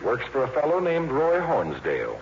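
A man's voice: medium pitch, wildly swooping pitch, normal speed, creaky. An actor playing a wry, teasing middle-aged father in a 1950s radio drama, dry and playful. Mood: wry, dismissive. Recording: muffled, hissy 1950s radio broadcast.

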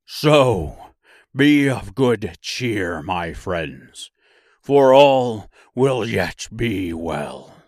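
Storybook lion, acted by a male reader: bold, loud, boastful bluster. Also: lowish voice, very animated, very slow, dry recording, studio quality, full character voice. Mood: rallying.

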